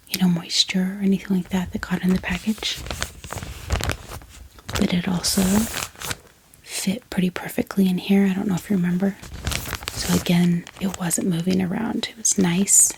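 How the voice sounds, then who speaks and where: A woman whispers softly and breathily in a gentle, chatty ASMR style, talking informally to her viewers close to the microphone. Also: fairly low pitch, little pitch movement, normal speed, pauses often, background noise. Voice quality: breathy, mostly unvoiced whisper. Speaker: ASMR video host. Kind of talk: soft-spoken whispered ASMR.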